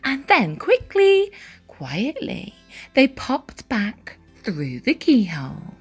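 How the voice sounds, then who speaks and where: A woman reading a children's storybook aloud as storyteller, with deliberate, dramatic emphasis on key words. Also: a little high, wildly swooping pitch, breathy edge, faint music behind. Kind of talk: expressive read-aloud storybook narration.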